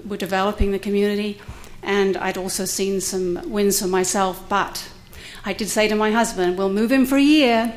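A woman's voice: medium pitch, steady tone, normal speed, few pauses. A middle-aged woman, speaking candidly and with light humor as a panelist at a university conference, at a podium microphone in a lecture room. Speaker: conference panelist, cohousing resident. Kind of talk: prepared talk at a conference.

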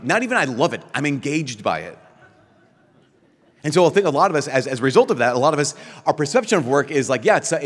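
A middle-aged man, a priest, giving an animated keynote at a podium, full of rhetorical questions and quick restarts. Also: a little high, big-room sound. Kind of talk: lively conference keynote. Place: large conference hall, at a podium. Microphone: podium microphone.

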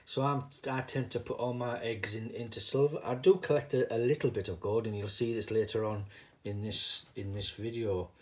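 A man's voice: fairly low pitch, steady tone, moderate pace, darkish tone. Middle-aged man with a Teesside accent. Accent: northeast English, Teesside. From northeast England (Middlesbrough). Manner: rambling, digressive. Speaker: video host, hobbyist coin stacker. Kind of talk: casual unscripted vlog.

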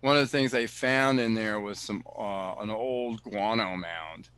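A man, director of a cave preserve, answering questions on a video call in an explanatory way, often pausing with fillers and restarting sentences. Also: on the low side, a bit bright, smooth. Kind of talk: answering questions in an online talk.

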